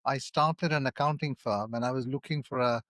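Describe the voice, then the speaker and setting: A man from India, a podcast guest who speaks in a measured way with some stammering repeats. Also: mid-range voice, fairly even intonation, a bit bright, no room sound. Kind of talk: podcast interview.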